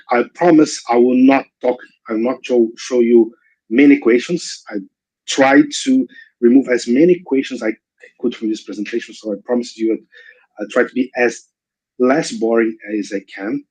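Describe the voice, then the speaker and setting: A man's voice: mid-range voice, normal speed, a little mellow, slightly rough, rough recording. A man in his forties from Brazil, speaking English with a mixed Brazilian, British and American accent, giving a seminar over a video call with many fillers and restarts. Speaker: invited guest speaker. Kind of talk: online seminar talk.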